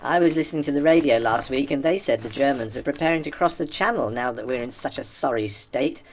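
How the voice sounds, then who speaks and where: Actress as low-voiced, scornful British office woman. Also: quick pace, slight background noise. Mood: scornful, despairing. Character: scornful, pessimistic wartime government office woman. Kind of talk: radio play acting.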